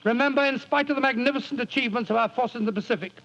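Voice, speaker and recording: A man's voice: extremely high pitch, racing, a bit bright. An American man reading a scripted patriotic wartime radio appeal in an urgent, exhorting 1940s announcer style. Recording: dull 1940s radio recording.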